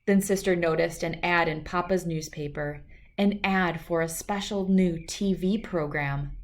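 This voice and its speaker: A woman reading a children's storybook aloud in an expressive narrating style. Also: lowish voice, measured pace. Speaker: storybook reader.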